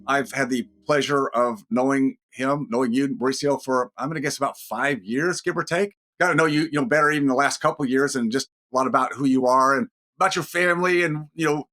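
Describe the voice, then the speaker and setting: A man hosting a podcast, his voice raspy and deeper than usual from a head cold, talking in an easy, measured way. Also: bright, forceful tone. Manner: conversational, measured pace with drawn-out phrases. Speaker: podcast host. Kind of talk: podcast intro and interview.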